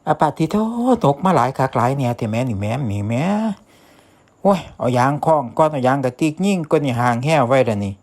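A man's voice: mid-range voice, lively intonation, fast, a little hiss or hum, natural voice. A man voicing a cheeky teenage village boy with an Isan accent, exclaiming to himself in a folk tale. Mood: excited, grumbling. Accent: Isan, northeastern Thai. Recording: echoey reverberant sound with music behind.

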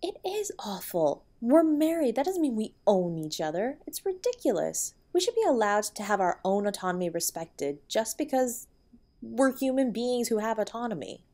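A woman's voice: fairly high pitch, very animated, normal speed, warm tone. A woman playing a tender, protective wife in an intimate audio roleplay, soothing and affectionate as she comforts her husband. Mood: indignant.

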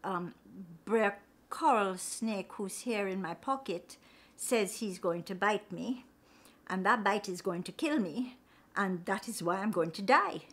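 A woman's voice: medium pitch, lively intonation, unhurried, a bit bright. Brer Rabbit, a woman voicing an earnest rabbit. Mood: glum. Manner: earnest, pleading. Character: kind-hearted male rabbit, earnest.

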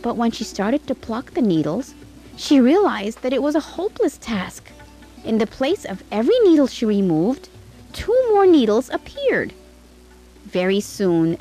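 A woman tells a children's fairytale from a script. Her delivery is expressive and dramatic, with lively swings in pitch, and music plays faintly behind her. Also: unhurried, poor audio. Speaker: storyteller.

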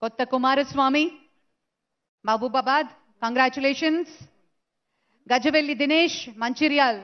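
A woman announcing recruits' names one by one at an award ceremony, in a slow, measured way. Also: high-pitched, steady tone. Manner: slow, measured name-calling into a PA. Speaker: event announcer. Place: large stadium ceremony. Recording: dull lo-fi broadcast sound.

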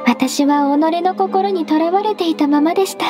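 An actress playing a gentle, diligent young secretary in an anime game, speaking politely and formally. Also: female voice, extremely high pitch, normal speed, music in the background. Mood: grateful, warm. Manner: polite, formal, soft-spoken.

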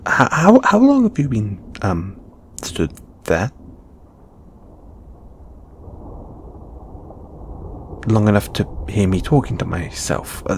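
Flustered British lamia guy, swooping pitch, acted by a man. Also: a little hiss or hum. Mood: flustered, embarrassed. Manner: stammering, hesitant, sighing. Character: shy, flustered male lamia flatmate, longing to cuddle. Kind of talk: intimate audio roleplay.

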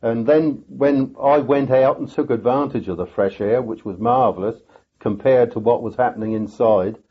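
An older man tells his memories of a sea battle slowly, pausing and restarting often. His voice is slightly husky. The audio is dull and lo-fi, like a documentary interview. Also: mid-range voice. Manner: halting, many restarts and pauses. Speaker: veteran sailor recounting a battle. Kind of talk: reflective interview recollection.

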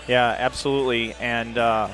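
A man's voice: mid-range voice, little pitch movement, moderate pace, fairly bright tone, faint music behind. A man hosting a live stream, casual and agreeable, on a headset mic. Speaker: show host. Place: busy trade show hall.

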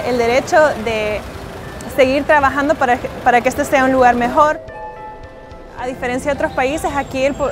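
Salvadoran woman in her 20s, high voice, chatty earnest interview answer, music playing under it. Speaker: interviewee, emigrant voter. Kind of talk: informal spoken testimonial to camera. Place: outdoors, covered airport walkway.

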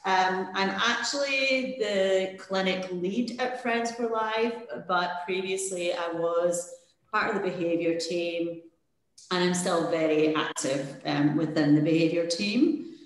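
A woman instructor on a video call, her voice sitting on the low side and ringing with strong room echo. She talks in a friendly, hesitant way, with lots of "um"s as she guides the group. The sound is that of a webcam mic in a bare room. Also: takes it slowly. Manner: friendly, hesitant with frequent fillers. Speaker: dog training instructor. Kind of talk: leading a volunteer training call. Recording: very echoey room.

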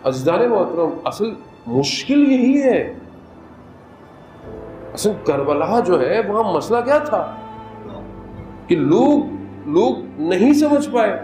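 A man giving a majlis sermon as a Shia cleric, moving from conversational preaching into impassioned, high-pitched appeals. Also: sing-song, takes it slowly, long pauses, darkish tone. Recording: echoey hall with music underneath.

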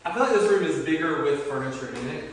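A man in his thirties with a folksy, expressive manner, chatting casually as he gives a home video tour. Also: fairly high pitch, fast, far from the mic. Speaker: family vlogger, tour guide. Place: empty rooms of a small house, indoors. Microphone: handheld phone a few steps away. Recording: very echoey empty rooms, clear background noise.

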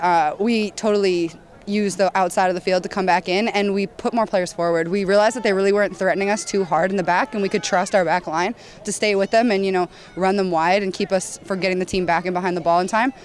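Fast-talking young woman goalkeeper. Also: mid-range voice. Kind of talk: post-game interview. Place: outdoor stadium at night. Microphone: handheld mic held at chin.

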